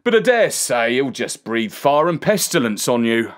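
A woman's voice: a bass voice, very animated, moderate pace. A woman voicing a matter-of-fact rustic coachman in a storybook, resigned to his grim orders. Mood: doubtful.

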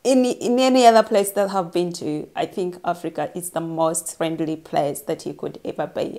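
A woman's voice: lowish voice, darkish tone. A young woman hosting a reaction video, talking in a chatty, enthusiastic way with fillers, recorded indoors on a sofa. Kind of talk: casual reaction commentary. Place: indoor room with blue sofa.

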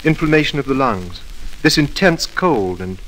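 An actor playing a solemn, concerned country doctor in a 1940s radio drama. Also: male voice, mid-range voice, expressive, moderate pace, a bit bright, close to an everyday voice, low-quality recording. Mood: grave concern. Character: grave, concerned country doctor, old friend. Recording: old radio recording, faint hiss.

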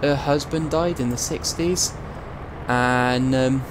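Young Welsh male streamer, chatty and rambling, mid-pitch voice, heavy truck game sound underneath. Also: takes it slowly. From South Wales. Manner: rambling, chatty storytelling with many asides. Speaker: gaming streamer. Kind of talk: casual chat while livestreaming a game. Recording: loud game noise behind. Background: truck game engine and road sounds.

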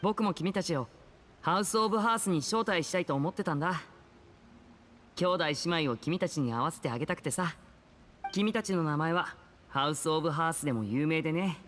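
A man's voice: fairly high pitch, fairly quick, a bit bright, a little hiss or hum, close to an everyday voice. An actor playing a courteous, easygoing young man in a Japanese game, talking in a friendly, polite manner. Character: courteous, smooth-talking young man, a magician and eldest brother. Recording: game music behind the voice.

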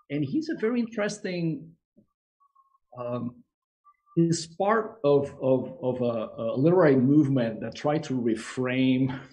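A man's voice: mid-range voice, warm tone, dull audio. A man speaking as a guest on a video call interview, hesitant with many fillers. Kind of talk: conversational interview.

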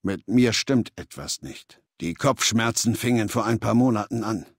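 Video game legion leader: ruthless, commanding male, gravelly, recorded very dry and close. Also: on the low side, dry recording. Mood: grave, measured. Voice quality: gravelly, husky. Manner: authoritative, deliberate, imperious. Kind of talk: dramatic video game voice acting, German dub. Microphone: close, dry studio recording.

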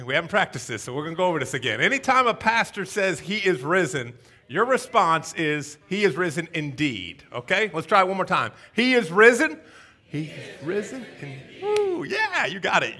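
A man in his thirties with a Southern American accent, preaching an energetic, joking Easter sermon on a church stage. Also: a little high, moderate pace. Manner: energetic, joking, paces the stage with wide gestures. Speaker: pastor. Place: church stage before a congregation.

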